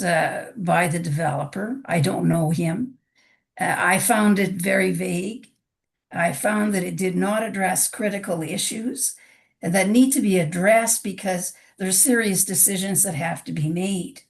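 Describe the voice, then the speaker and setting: A woman resident addressing a town council, her voice hoarse from being unwell, speaking earnestly with frequent restarts and halting pauses. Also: medium pitch. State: hoarse from illness. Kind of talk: public comment at a council meeting. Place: council meeting chamber.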